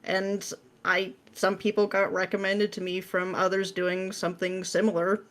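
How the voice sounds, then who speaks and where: A woman's voice: mid-range voice, little pitch movement, moderate pace. A woman answering questions as a guest in a casual interview, informal and dryly self-deprecating.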